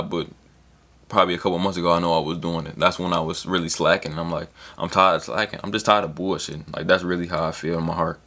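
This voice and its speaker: A man's voice: sits low, bright, forceful tone. A man in his early twenties giving a loose, rambling motivational talk in slang, with casual swearing, as a solo vlogger. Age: 20s.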